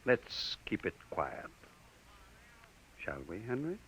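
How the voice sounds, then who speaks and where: An actor playing a skeptical, authoritative bureau chief in a 1950s radio drama, brusque and measured in his wording. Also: on the low side, wildly swooping pitch, a bit bright, a little hiss or hum, full character voice. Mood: cautious, measured. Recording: dull 1950s radio recording.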